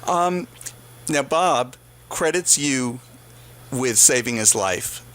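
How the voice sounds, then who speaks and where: A man hosting a conversational radio interview, asking questions in a somewhat halting way. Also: mid-range voice, expressive, a bit bright. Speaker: radio host. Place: radio studio.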